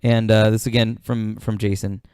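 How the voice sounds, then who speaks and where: A man's voice: fairly low pitch, flat delivery, moderate pace, a little mellow, clear, resonant tone, dry recording. Man hosting a casual podcast, dry sound. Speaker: podcast host reading out viewer suggestions. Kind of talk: casual group chat.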